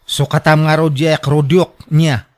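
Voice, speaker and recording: A woman's voice: sits low, little pitch movement, measured pace. A woman with an Ilocano accent acting as an anxious, doubtful younger villager in a radio drama.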